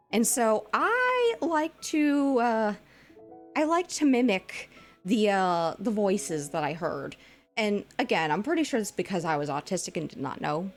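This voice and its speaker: A woman in her twenties chatting casually as a VTuber streamer, in a lighter, put-on feminine voice, rambling with fillers and giggles. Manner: puts on a lighter, feminized voice above her own low one. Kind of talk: casual live-stream chat with viewers.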